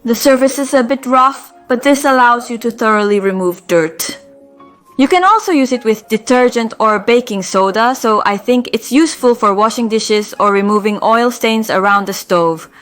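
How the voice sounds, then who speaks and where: A woman with a slightly hoarse voice, calmly and methodically explaining household products in a review vlog. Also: on the high side, moderate pace, faint music behind. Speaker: home organizing vlogger.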